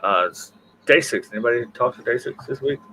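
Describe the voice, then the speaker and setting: A man's voice: medium pitch, brisk, bright, forceful tone, low-quality recording. A man hosting a casual livestream panel chat in an informal, teasing way. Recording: faint background noise on livestream audio.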